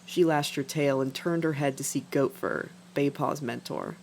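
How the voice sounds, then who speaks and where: A woman's voice: rumbling low, normal speed, gravelly, a little mellow. A woman reading scripted fiction as a dramatic narrator. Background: music.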